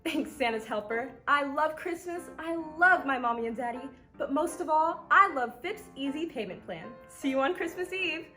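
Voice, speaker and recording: A woman's voice: high voice, animated, normal speed, few pauses, bright, forceful tone, faint music behind. A woman playing a cocky, eager little paperboy in an old-time radio advertisement. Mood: cheeky, eager.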